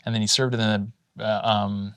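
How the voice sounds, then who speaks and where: A man's voice: lowish voice, flat delivery, moderate pace, no room sound. Chatty man telling anecdotes, dry studio. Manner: rambling anecdotes with many restarts. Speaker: podcast co-host. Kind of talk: casual chat, storytelling.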